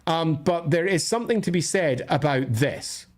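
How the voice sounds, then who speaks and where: A man's voice: fairly high pitch, expressive, normal speed. A Scottish man hosting a casual livestream, thinking aloud as he answers questions in a discursive, opinionated way with colloquial asides. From northern Scotland.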